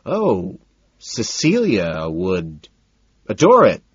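A man voicing a nervous, ingratiating young yuppie in an audiobook story, halting and deliberate, slyly insistent. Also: on the low side, wildly swooping pitch, husky, no room sound, lo-fi sound, heavily acted. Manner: halting, deliberate, over-eager.

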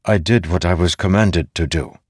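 A man's voice: low voice, moderate pace, slightly rough, no room sound, clean studio sound. A man voicing an earnest young warrior son in a fantasy audiobook, intense and frustrated as he defends himself. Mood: defensive. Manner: intense, indignant when challenged.

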